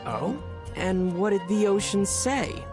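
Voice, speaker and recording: An actor playing a gentle, teasing young male adventurer in a video game, speaking in a measured, wry way. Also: sits high, lively intonation. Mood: playful. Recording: music behind the voice.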